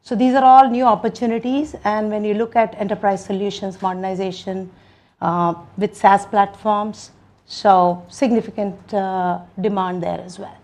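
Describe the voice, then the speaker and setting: A woman executive with an Indian accent answers questions on a corporate call. She speaks at a middle pitch, feeling her way through phrases in a measured, businesslike manner. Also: little pitch movement, darkish tone. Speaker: company executive.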